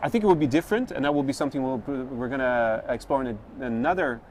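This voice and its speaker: A man hosting a vlog, explaining things conversationally to camera in measured, slightly hesitant phrasing. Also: mid-range voice, lively intonation, a little mellow. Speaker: vlog host. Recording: outdoor street noise.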